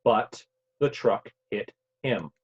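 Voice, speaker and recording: A man playing an earnest, grieving grown son from the future in a table read, with a halting, emotional delivery. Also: on the low side, lively intonation, slightly rough, heavily acted. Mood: grieving, urgent. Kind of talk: virtual table read of a play. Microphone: video call. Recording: dull, lo-fi online call audio.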